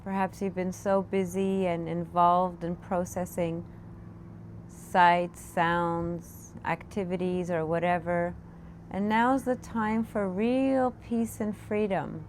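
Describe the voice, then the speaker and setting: Slow-paced woman guiding a meditation. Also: on the low side, fairly even intonation. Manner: gentle, unscripted instruction with pauses. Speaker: meditation teacher. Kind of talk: guided meditation. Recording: clear background noise, room ambience. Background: room ambience, children in the background.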